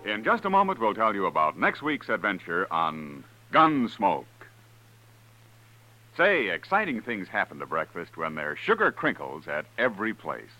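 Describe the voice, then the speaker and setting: A male American radio announcer with a low, bright, forceful voice. He pitches in lively 1950s commercial style, peppy and salesman-like. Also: moderate pace, faint music behind, poor audio.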